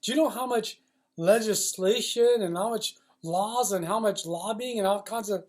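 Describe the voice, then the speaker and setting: High-pitched, blunt man in an opinionated monologue. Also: a little mellow. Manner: blunt, emphatic, profane, riled up. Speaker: loudspeaker supply industry commentator based in China.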